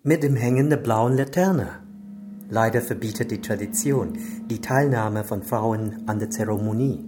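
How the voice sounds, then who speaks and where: A man's voice: fairly low pitch, measured pace, over music, close to an everyday voice. A man in a German radio crime drama playing a suave, menacing Chinese crime boss who is genial but insinuating and commanding. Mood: ceremonious, genial.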